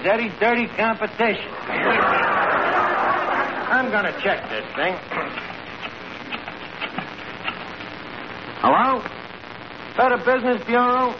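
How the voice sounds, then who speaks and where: An actor playing a brash, scheming tavern manager in a 1940s radio comedy, with a New York accent and a bluffing, word-mangling way of talking. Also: male voice, high voice. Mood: scheming, indignant. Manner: bluffing, mangles grammar and fancy words. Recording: noisy muffled old radio broadcast, audience behind.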